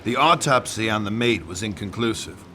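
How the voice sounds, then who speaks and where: An actor playing a world-weary, suspicious police detective in a video game, blunt and deliberate with a folksy, hard-boiled manner. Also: male voice, lowish voice, expressive, background noise, lightly acted. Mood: blunt, grave. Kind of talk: video game cutscene acting and noir-like narration.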